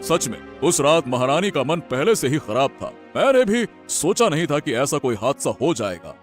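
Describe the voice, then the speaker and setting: A man's voice: fairly high pitch, sing-song, normal speed, faint music behind. A man voicing a trusting, regal king who speaks earnestly in a moral-story cartoon. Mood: grateful, kindly. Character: trusting, grateful fairy-tale king. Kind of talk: animated Hindi moral-story dialogue.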